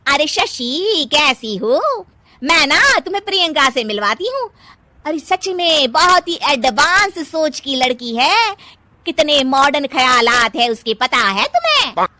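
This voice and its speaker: A vain, bossy mother-in-law from a moral-story cartoon, played by a woman. Her voice is very high and piercingly bright, swinging up and down as she gushes and scolds. She is excitable and theatrical, a middle-aged busybody. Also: a little slow, no room sound, full character voice. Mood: boastful, gushing.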